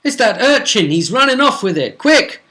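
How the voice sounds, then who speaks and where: Flustered fantasy spellcaster, played by a male game master, pitched high, urgent and alarmed, bright tone. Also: sing-song, moderate pace, full character voice. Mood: panicked.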